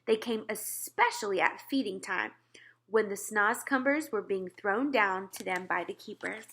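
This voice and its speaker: A woman reading a children's book aloud as scripted narration, expressive and clearly phrased. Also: mid-range voice, normal speed. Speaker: storybook reader.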